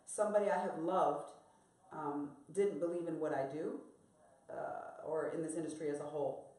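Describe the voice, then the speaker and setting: Casting: American woman, lowish voice, candid and reflective, panel guest, in a very echoey room. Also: fairly even intonation, moderate pace, dark tone. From United States. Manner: candid, reflective, repeats her own mantra. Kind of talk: live panel discussion.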